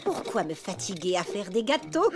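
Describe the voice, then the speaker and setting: A woman's voice: medium pitch, sing-song, moderate pace, natural voice. A woman voicing a smug, condescending female elephant mother in a French cartoon dub, with a pompous manner.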